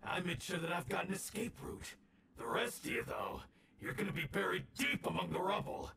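An actor playing a scheming, taunting gang villain in a video game, gravelly and prone to panic. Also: male voice, high-pitched, very animated, brisk, pushed and bright, a little hiss or hum, full character voice. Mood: smug, gloating. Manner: theatrical, taunting.

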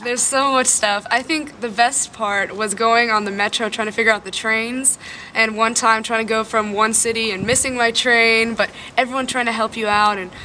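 A woman's voice: a little high, fairly even intonation, moderate pace, noisy background. A nineteen-year-old woman, a student, chatting in an interview outdoors on a campus. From Houston, Texas. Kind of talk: casual interview answers.

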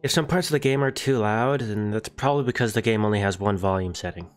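Low-voiced casual male gamer commentating. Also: fast talker. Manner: offhand, rambling. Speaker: gaming video host. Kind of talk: casual game walkthrough commentary.

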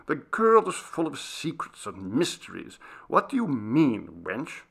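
Man acting a brusque, bookish gentleman, swooping pitch. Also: wildly swooping pitch, a little slow, gravelly, heavily acted. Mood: impatient. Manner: formal, brusque, questioning. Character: reserved, bookish gentleman scholar, impatient and brusque.

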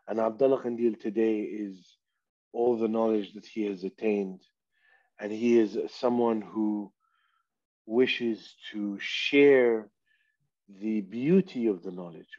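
Brief: man from Saudi Arabia, thirties, unhurried and mellow, lowish voice, dull headset call sound. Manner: slow, reflective, speaks of himself in third person. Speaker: artist guest. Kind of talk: video call interview. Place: small room indoors. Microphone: headset on video call.

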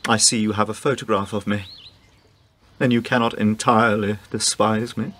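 An actor playing a pompous, theatrical upper-class husband and war veteran in a 1920s radio play. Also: male voice, fairly low pitch, level tone, moderate pace, a bit bright. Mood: wounded, stiff.

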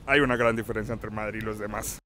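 A man's voice: on the low side, steady tone, quick pace, fairly bright tone, slight background noise. A man from Colombia, a native Spanish speaker, chatting casually outdoors as an interviewed football fan into a handheld mic. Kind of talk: casual chat. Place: outdoors on a city bridge. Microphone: interviewer's handheld microphone. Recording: busy street crowd behind.

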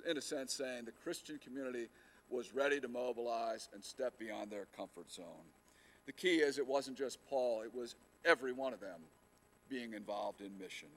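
A man's voice: medium pitch, fairly bright tone. A middle-aged man, a pastor, preaching a sermon from a church pulpit into a lapel mic, earnest, with many fillers and restarts and rising emphasis on key words. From United States.

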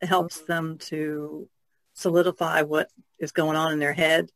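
A woman on a podcast panel, explaining things casually in a halting, thoughtful way. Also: deep voice, level tone, fairly bright tone, no echo. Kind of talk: casual chat.

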